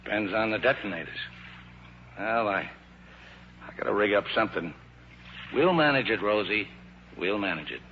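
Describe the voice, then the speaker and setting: An actor playing a rough-hewn but tender, uneducated riverboat skipper in a vintage radio drama, talking in plain, folksy, ungrammatical speech. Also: male voice, lowish voice, expressive, normal speed, long pauses, natural voice. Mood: matter-of-fact. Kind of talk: 1950s American radio theater acting. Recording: muffled, noisy old radio broadcast recording.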